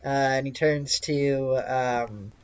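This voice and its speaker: A man narrates a tabletop game as its game master. His delivery is slow, casual and hesitant, improvised as he goes, and the recording is a little dull. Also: medium pitch, steady tone, smooth.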